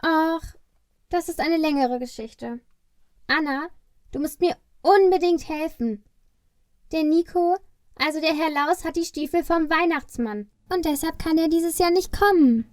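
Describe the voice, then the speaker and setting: Woman voicing a high-pitched little elf boy. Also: unhurried, a little mellow, no echo, studio quality. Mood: urgent, pleading. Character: small, earnest male Christmas elf on a mission. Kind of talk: family Christmas audio play acting.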